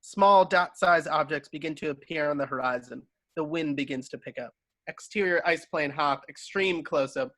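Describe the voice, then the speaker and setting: A man with a bright, forceful and fairly high voice narrates a screenplay. He reads stage directions and clipped scene headings into a close, very dry home microphone. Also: moderate pace, no echo. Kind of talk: scripted narration.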